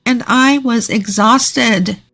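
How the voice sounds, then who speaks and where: A woman's voice: on the high side, takes it slowly, fairly bright tone. A woman narrating a scripted first-person story.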